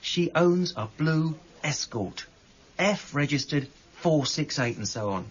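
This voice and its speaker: A man's voice: on the high side, animated, takes it slowly, breathy edge, muffled recording, exaggerated voice. An actor playing a keen, feeling police sergeant, a junior man in a radio crime drama. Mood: keen, earnest.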